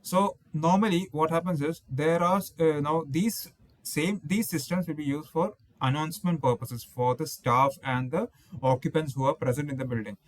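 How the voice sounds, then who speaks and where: A man's voice: fairly high pitch, normal speed, no echo, low-quality recording. A man giving an explanatory technical lecture as an instructor, going through points methodically and often rephrasing them.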